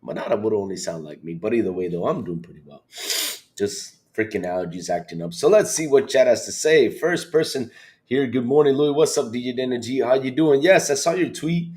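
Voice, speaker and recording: A man hosting a casual livestream with a congested, slightly hoarse voice, swinging between loud bursts and low asides. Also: a little fast. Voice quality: hoarse, grumpy-sounding congested voice. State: congested, sick with allergies or a cold. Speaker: livestream podcast host. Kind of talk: casual livestream chat to viewers.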